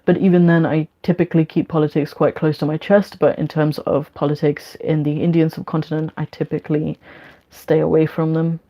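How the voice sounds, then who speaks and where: A woman with a London accent hosting a casual question-and-answer session, speaking in a frank, measured way. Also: low voice, level tone, warm tone. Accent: London English. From London, UK; born in Bangladesh. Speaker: online Q&A host. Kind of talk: casual spoken Q&A, reading questions and giving opinions. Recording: dull, lo-fi sound.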